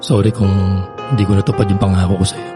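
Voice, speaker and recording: A man's voice: on the low side, quick pace, over music, natural voice. An actor playing a guarded but forgiving young man with a Filipino accent in a radio drama. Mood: surprised, consoling.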